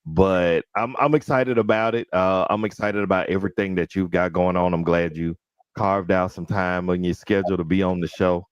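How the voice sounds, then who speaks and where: A man hosting a podcast, speaking with careful, articulate diction and playful animated asides. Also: low-pitched, normal speed, smooth, no room sound, muffled recording. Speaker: podcast host. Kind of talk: casual interview chat.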